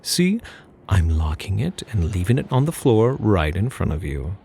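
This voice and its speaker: Man playing a low, gravelly flirtatious phantom thief. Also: very animated, moderate pace, mellow, a little hiss or hum, exaggerated voice. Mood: coaxing, assured. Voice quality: husky, gravelly. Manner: teasing, mock-polite, theatrical. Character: smug, flirtatious, playful phantom thief teasing a captured detective. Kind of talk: ASMR roleplay acting.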